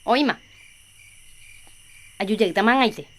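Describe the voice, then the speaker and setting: A woman's voice: medium pitch, drawn-out words, a bit bright. A woman playing a caring, busy catechist in a Paraguayan radio soap opera, with a Paraguayan accent. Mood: busy, organizing. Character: Juliana, caring, busy catechist woman organizing a village festival.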